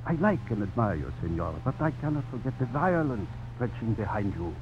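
Stern, formal older governor and father played by a male actor, expressive range, vintage radio drama, muffled broadcast sound. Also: mid-range voice, a little fast, close to an everyday voice. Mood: grave. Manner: formal, grave, ceremonious. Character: courtly, stern older Spanish-colonial governor and protective father. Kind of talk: 1950s radio drama acting. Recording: dull, noisy old radio broadcast recording.

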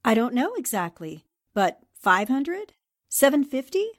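An actress playing a smart, wisecracking young newspaperwoman in 1940s-style radio drama, very expressive. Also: high voice, unhurried, no room sound, clean studio sound, full character voice. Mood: incredulous.

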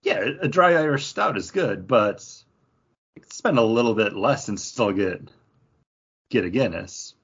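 A man with a low, husky voice hosts a casual podcast chat. His pitch moves around in a lively way as he talks. The recording is slightly dull. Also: a little slow. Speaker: podcast host. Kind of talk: casual chat, beer review.

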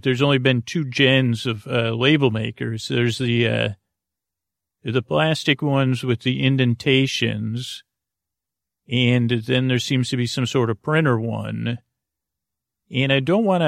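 A man hosting a bedtime podcast in a meandering, halting monologue, repeating himself and trailing off in a soothing, low-pressure way. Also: creaky, no room sound, studio quality. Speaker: podcast host.

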